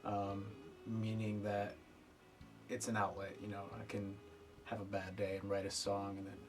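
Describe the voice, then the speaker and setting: A man's voice: on the low side, completely flat delivery, normal speed, distant mic, soft background music. A young man in his twenties from Connecticut, a band musician speaking in a laid-back, hesitant way full of "um". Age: 20s. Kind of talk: casual documentary interview.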